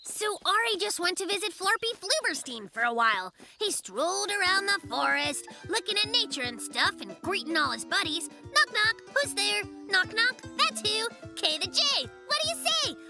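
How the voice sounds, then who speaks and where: A woman's voice: very high-pitched, big pitch swings, moderate pace, hardly any pauses, music in the background, exaggerated voice. A woman voicing a cocky, playful little boy bear cub in a children's cartoon, glib and boastful. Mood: glib storytelling.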